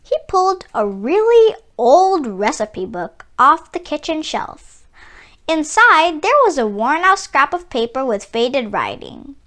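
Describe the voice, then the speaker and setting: Bright, high-pitched woman reading a children's story. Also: sing-song. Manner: theatrical, emphatic, drawn-out key words. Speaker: children's book reader. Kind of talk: expressive read-aloud storytelling.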